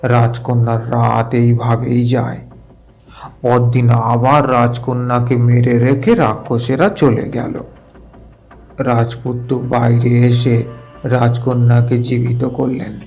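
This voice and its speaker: A man reads a fairy tale as a dramatic audio story. His voice is mellow and creaky, with slow, deliberate phrasing. Music plays softly beneath him. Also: medium pitch, level tone. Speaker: storyteller narrator.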